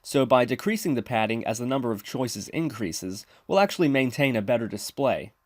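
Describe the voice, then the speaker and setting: A man presents a tutorial in a methodical, step-by-step way. His voice sits at a middling pitch and is recorded close and dry, with very little room sound. The delivery is that of scripted instructional narration. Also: expressive, fairly quick, no echo. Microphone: close mic, screen-recording voice-over.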